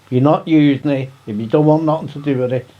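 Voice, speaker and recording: A man's voice: fairly high pitch, quick pace, highs cut off. A man with a Liverpool accent, reminiscing casually in an interview in plain-spoken, colloquial language. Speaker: CB radio hobbyist being interviewed.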